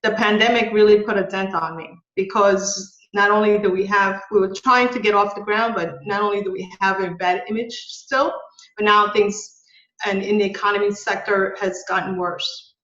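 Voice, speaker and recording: A woman's voice: mid-range voice, steady tone, moderate pace, fairly bright tone. Woman, from Ecuador, hesitant and restarting, roomy sound, slightly muffled audio. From Ecuador, raised in the Bronx. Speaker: interviewee, tour business owner. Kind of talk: oral history interview.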